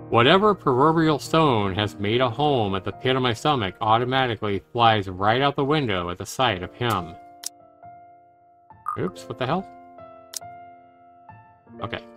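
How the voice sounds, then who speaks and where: A male livestreamer reads game narration in an average-pitched voice at a slow pace. His delivery is dramatic, with colloquial asides. Faint music plays behind him. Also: fairly bright tone. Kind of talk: game narration read aloud with casual commentary.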